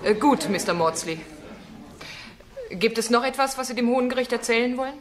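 An actress playing a formal, composed defence barrister in a radio play, questioning in a measured manner. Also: female voice, a little high, lively intonation, a little hiss or hum, natural voice. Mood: composed, probing.

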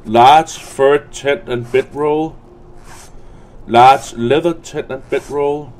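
A man's voice: medium pitch, fairly even intonation, slow, a little mellow. A man giving unscripted game commentary, muttering to himself and reading item names aloud. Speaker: gamer recording a let's play.

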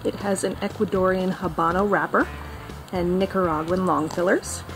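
A young woman chatting casually through a product review, friendly and relaxed with a few ums along the way, filmed on a phone at arm's length indoors. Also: lowish voice, moderate pace, music in the background, rough recording. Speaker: cigar reviewer.

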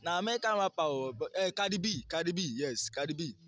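Young Nigerian man, higher-pitched voice. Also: lively intonation, fast, music in the background. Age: young adult. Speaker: street interviewee. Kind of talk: casual street interview in Pidgin. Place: outdoors by a garden wall. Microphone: phone held near the mouth by interviewer.

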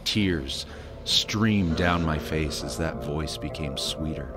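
A man acting a very deep, haunted adventurer. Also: takes it slowly. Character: haunted young adventurer recounting a childhood dream. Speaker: character narrator in an audio drama. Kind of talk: dramatic first-person storytelling. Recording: dramatic music under the voice.

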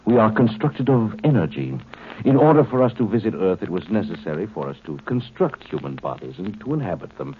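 A cold alien overseer with a very low, deep voice, lecturing his captives in slow, superior tones. The sound is thin and tinny like an old broadcast, with a faint hiss beneath. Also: sing-song. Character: cold calm male alien overseer, condescending. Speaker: alien captor. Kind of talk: 1950s radio drama acting.

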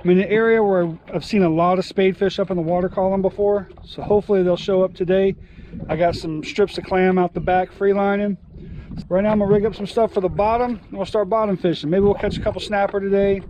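An easygoing man in his forties narrates his fishing trip, voice a touch high for a man. He talks from a small open boat far offshore, recorded on an action camera. Clear wind and water noise runs under his voice. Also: fairly even intonation, quick pace, warm tone, muffled recording. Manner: easygoing, chatty, talks to the fish and viewers. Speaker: fishing channel host. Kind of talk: casual fishing vlog narration.

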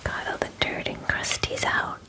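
A woman in her twenties whispering gently and soothingly in an ASMR personal attention roleplay, close to the microphone. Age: 20s. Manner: gentle, soothing, reassuring, unhurried. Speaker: ASMR roleplayer playing a spa groomer. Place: small bedroom, indoors. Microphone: close microphone, near the camera.

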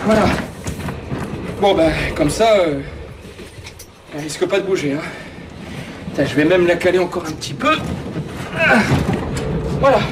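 A man's voice: high-pitched, lively intonation, normal speed, pauses often, distant mic. A man playing a brash, bossy, foul-mouthed guy in a naturalistic radio drama, full of slang. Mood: chatty. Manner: slangy, swearing, colloquial. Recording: noisy train ambience, off mic.

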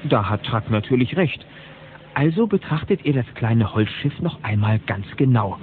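An actor as a lively storyteller, narrating a scripted children's adventure audio drama. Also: male voice, mid-range voice, moderate pace, a little hiss or hum, lightly acted. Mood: storytelling. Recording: tinny band-limited old cassette.

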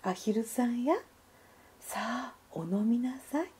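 A woman as a gentle, slow-spoken mother duck. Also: on the high side, husky, full character voice. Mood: soothing. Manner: soothing, polite, unhurried. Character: gentle, caring mother duck soothing her child. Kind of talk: children's storybook reading.

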